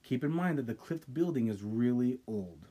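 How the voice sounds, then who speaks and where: A man co-hosting a casual podcast, reading a listener's ghost story aloud with dramatic pauses and stopping to react. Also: mid-range voice, animated, dark tone. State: sipping wine. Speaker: podcast co-host.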